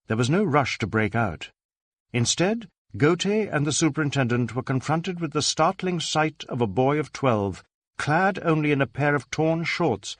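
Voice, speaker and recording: A man reading a story as a scripted audiobook narrator, in an expressive storytelling manner. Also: mid-range voice, no room sound, clean studio sound.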